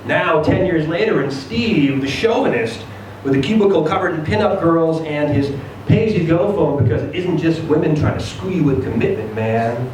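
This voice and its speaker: A man giving an expressive, theatrical reading of a first-person short story. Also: on the high side, moderate pace, few pauses. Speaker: reader of a short story at a live event. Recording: very echoey room, distant mic, noisy.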